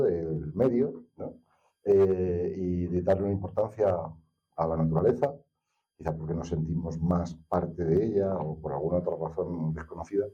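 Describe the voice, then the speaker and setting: A man's voice: deep voice, dark tone, husky. A middle-aged or older man from Castilla-La Mancha, with an accent from Spain, talking reflectively and hesitantly as an interview guest. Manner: hesitant, thoughtful, digressive. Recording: slightly dull, lo-fi sound.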